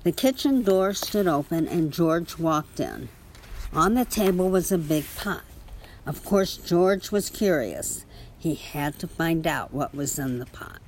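A woman reading a children's picture book aloud in an expressive storytelling manner. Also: deep voice, a little slow, a little hiss or hum. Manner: expressive storytelling with small spoken asides. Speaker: story reader.

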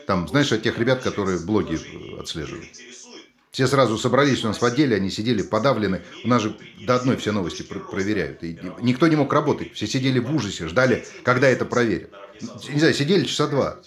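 An actor playing an agitated TV newsman and husband in a naturalistic stage drama, sarcastic, insistent and halting in his speech. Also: fairly low pitch, lively intonation, natural voice. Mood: subdued, grave. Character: agitated TV newsman, father and husband, scornful and defensive.

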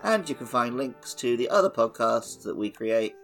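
A man's voice: medium pitch, big pitch swings, normal speed, fairly bright tone, soft background music. A man co-hosting a gaming podcast in a casual, colloquial way. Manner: informal, colloquial. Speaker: podcast co-host.

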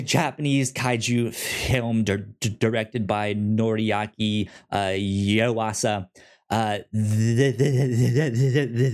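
Guy with a lowish voice doing casual podcast talk, trips and stutters on word starts. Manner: stammers, often repeating sounds and word beginnings. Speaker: podcast co-host pitching films and comics.

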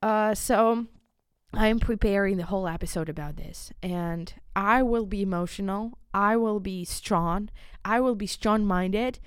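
Clear-voiced young Ukrainian woman podcasting. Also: medium pitch, no echo, studio quality. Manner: earnest, halting, repeats words for emphasis. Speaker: podcast host. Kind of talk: informal solo podcast monologue.

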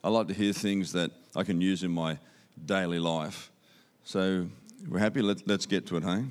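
A man's voice: deep voice, fairly even intonation, moderate pace. A man with an Australian accent giving a church sermon as a guest speaker, upbeat and conversational, repeating key phrases for emphasis. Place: church auditorium. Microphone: handheld microphone.

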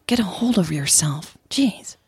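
A woman voicing a lovestruck, anxious woman in an audio romance, husky and restrained. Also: fairly low pitch, lively intonation, measured pace, darkish tone, no echo, clean studio sound, exaggerated voice. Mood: flustered. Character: lovestruck, anxious woman hiding her worry, Leah.

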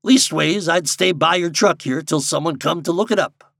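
A man's voice: a little high, normal speed, creaky, fairly bright tone, no echo, studio quality, voice pushed up. A man voicing a sly old American backwoods guide with a folksy rustic dialect in a storybook reading. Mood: civil, composed.

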